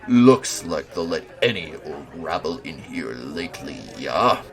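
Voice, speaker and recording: An actor playing a huge gruff werewolf gang boss, gravelly, deadpan and teasing, in an audio drama. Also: male voice, very deep voice, a little slow, faint music behind, a faint crowd, exaggerated voice. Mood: amused, taunting.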